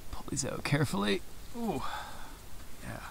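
A man's voice: sits high, very animated, unhurried, gravelly, slight background noise, rough recording. A young man giving casual, enthusiastic how-to commentary as he forages outdoors in a forest. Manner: enthusiastic, informal. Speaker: mushroom forager and video host.

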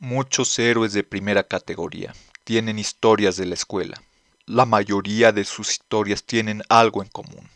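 A man voicing a grand, noble heroic mentor in an anime fan dub, with deliberate, theatrical delivery. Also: fairly low pitch, fairly even intonation, fairly bright tone, no echo. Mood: solemn, grateful.

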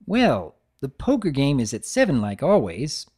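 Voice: medium pitch, wildly swooping pitch, moderate pace, darkish tone, breathy edge. A narrator voicing a friendly, mild-mannered married stallion, a cartoon baker, chatty and earnest. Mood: amiable.

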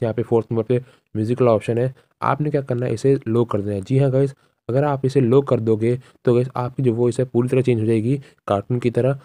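A man's voice: mid-range voice, dark tone, dry recording. A young man narrating a casual step-by-step phone tutorial, repeating instructions as he addresses viewers directly. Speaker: tutorial host.

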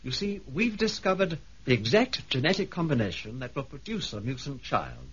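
An actor playing an earnest, educated investigator, articulate and pointed, in a 1970s radio drama. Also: male voice, medium pitch, sing-song, moderate pace, fairly bright tone, breathy edge. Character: earnest, educated investigator, polite but accusing. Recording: dull 1970s radio recording.

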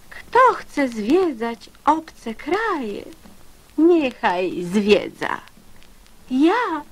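A woman with a fairly high, husky voice recites children's verse as a storyteller. Her delivery is dramatic and expressive, with lively rises and falls. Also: dry recording, rough recording. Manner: dramatic, expressive, with drawn-out phrases.

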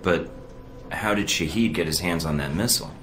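An actor playing a cocky, impatient young secret agent in a video game, speaking in a terse, dry, smug way. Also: male voice, low voice, moderate pace, noisy background, lightly acted. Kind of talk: video game cutscene acting. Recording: roomy echo with music behind.

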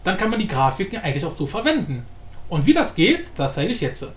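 A man hosting a casual software tutorial in a colloquial, mildly crude, joking way. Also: sits high, big pitch swings, fast talker. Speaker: tutorial host.

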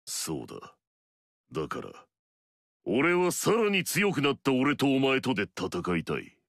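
An actor playing an arrogant, battle-hungry warrior in a video game, curt and scornful with a gravelly edge. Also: male voice, medium pitch, wildly swooping pitch, unhurried, a bit bright, no room sound, studio quality, exaggerated voice. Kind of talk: video game story dialogue.

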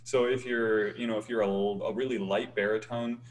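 A young man with a light, easygoing voice chats on a video call. He talks with animated swings in tone, laughs often and stumbles through restarts. It sounds like a small room at home. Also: fairly low pitch, normal speed. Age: young adult. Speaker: choir bass singer, guest. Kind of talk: casual video-call interview.

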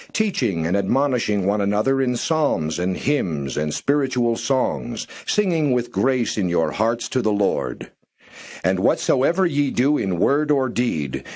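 A man reading Bible verses aloud in a slow, measured way, pausing between phrases. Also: medium pitch, darkish tone, husky. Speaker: scripture reader.

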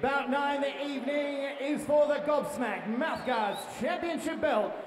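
A man announcing a boxing bout and interviewing the winner in the ring on a handheld mic, drawing out his calls. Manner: booming, drawn-out announcements. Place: large ballroom boxing event. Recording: echoey hall with crowd noise.

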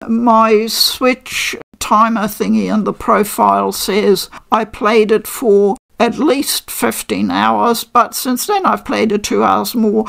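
An older woman hosting a casual unboxing review from her living room, chatty and measured with frequent pauses. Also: mid-range voice, creaky, fairly bright tone. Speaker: game reviewer host.

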